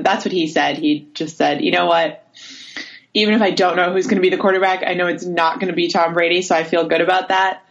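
A woman speaking as a sports reporter on a dull, lo-fi connection, giving casual analysis and haltingly thinking aloud. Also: fairly low pitch. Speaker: sports reporter, interview guest.

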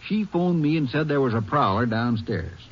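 A man's voice: medium pitch, lively intonation, normal speed, lightly acted. A man playing a folksy, fatherly, skeptical small-town Texas sheriff, reasoning soothingly in 1950s radio drama style. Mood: explaining. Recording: dull old 1950s radio recording.

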